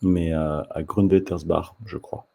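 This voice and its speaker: A man who hosts his own channel, giving casual spoken commentary straight to the viewer, often halting and restarting mid-sentence. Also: sits low, little pitch movement, gravelly, dark tone. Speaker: table tennis coach and channel host.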